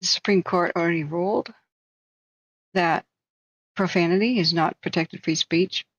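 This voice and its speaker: A woman's voice: on the low side, a little slow, no room sound, highs cut off. A woman hosting a live stream, chatting casually in a rambling, joking, self-deprecating way.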